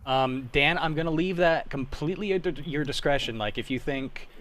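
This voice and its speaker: A man's voice: a little high, moderate pace, a bit bright. A young man chatting casually as he hosts and organizes an online script read. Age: young adult. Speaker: host of a livestreamed script read.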